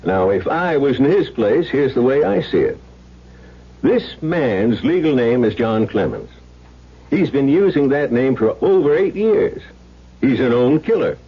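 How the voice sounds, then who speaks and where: An actor playing a measured, folksy small-town sheriff and old family friend in a 1970s radio drama. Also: male voice, mid-range voice, big pitch swings, husky, heavily acted. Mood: measured, reasoning. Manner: folksy, deliberate, reasoning aloud. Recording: dull lo-fi old radio broadcast.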